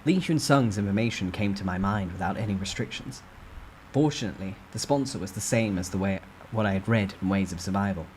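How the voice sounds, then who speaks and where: A man's voice: fairly low pitch, a little fast, a little mellow, slight background noise, poor audio. A man reading a novel aloud as a dramatic first-person narrator.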